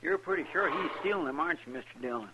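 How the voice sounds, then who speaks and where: An actor playing a loyal, anxious deputy sidekick in a radio western, with a rural American accent and a folksy, deferential manner. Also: male voice, on the high side, animated, racing, bright, forceful tone. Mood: earnest, uneasy. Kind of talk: 1950s radio western acting. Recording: muffled old radio with faint noise.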